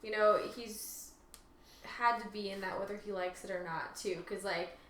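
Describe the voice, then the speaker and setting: A woman's voice: medium pitch, fairly even intonation, moderate pace, fairly bright tone. A woman co-hosting a casual chat, speaking informally and sometimes trailing off mid-sentence. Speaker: co-host. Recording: echoey room with faint noise.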